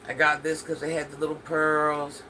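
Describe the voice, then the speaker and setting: An older woman with a deep voice, chatting casually on a livestream and talking straight to her viewers. She sounds stuffy from allergies. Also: monotone, normal speed, pushed and bright, slight background noise, low-quality recording. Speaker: livestream host.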